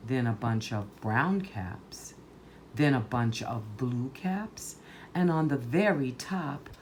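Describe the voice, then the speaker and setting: Slow, low-voiced woman reading a children's story. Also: darkish tone, slight background noise. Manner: deliberate, emphatic, dramatic. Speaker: storytime reader.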